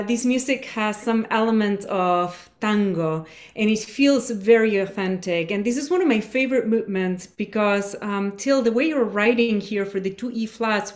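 A woman from Argentina, a clarinetist, talking with enthusiasm in a masterclass held over a video call from her home. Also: mid-range voice. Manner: enthusiastic, effusive praise. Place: home room with shelves.

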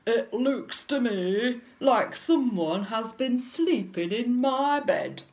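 Woman putting on a loud, growly Daddy Bear. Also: animated, slow pace. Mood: indignant growl. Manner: growling, booming. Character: gruff big Daddy Bear, growling, indignant father.

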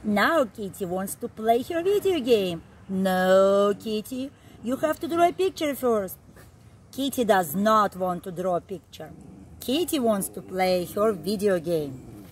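A middle-aged woman reads a story aloud for small children. Her pitch is medium and moves in lively swings, while her pace stays slow and deliberate with simple emphasized phrases. She is recorded outdoors, a short distance from the microphone. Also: a little hiss or hum. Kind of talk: reading a picture book aloud to young children. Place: outdoor backyard, seated on a garden seat.

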